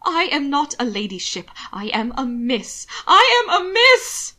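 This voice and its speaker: A strained, short-tempered young lady in labor from a storybook, high, loud and sharp, as a woman reading aloud puts her on. Her words come out pinched with fear and pain. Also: normal speed. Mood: frantic.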